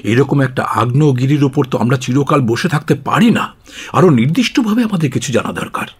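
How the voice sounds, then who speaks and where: A man's voice: medium pitch, lively intonation, brisk, breathy edge. A man playing a grave, authoritative Prime Minister in a radio drama, speaking with commanding emphasis. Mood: stern. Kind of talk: Bengali radio drama acting.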